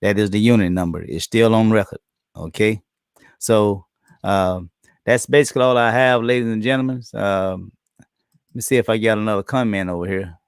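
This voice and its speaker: Hoarse man hosting a live talk show. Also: lowish voice, fairly even intonation, no echo, studio quality. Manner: loose, halting, with many ums and uhs. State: losing his voice, clearing throat. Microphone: computer or phone on internet stream.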